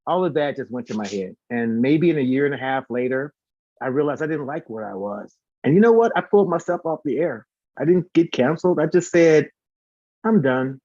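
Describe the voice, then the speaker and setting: A man's voice: a little high, animated, brisk, a little mellow, no echo, dull audio. A man telling stories casually as an interview guest, animatedly acting out the dialogue he quotes. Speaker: singer and former radio host. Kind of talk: casual storytelling.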